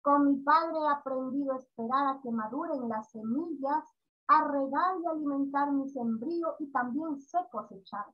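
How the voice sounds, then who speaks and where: A woman's voice: sits high, normal speed, highs cut off, close to an everyday voice. A woman reading aloud the lines of a timid little boy asking permission to farm, from a storybook. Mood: earnest. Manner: read aloud plainly from a storybook.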